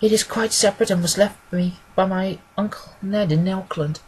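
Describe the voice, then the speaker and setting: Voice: medium pitch, normal speed, darkish tone, a little hiss or hum, natural voice, poor audio. A reader voicing a nervous, good-humoured young woman client in an audiobook, earnest, deferential and rambling. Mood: chatty, earnest. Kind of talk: acted audiobook dialogue.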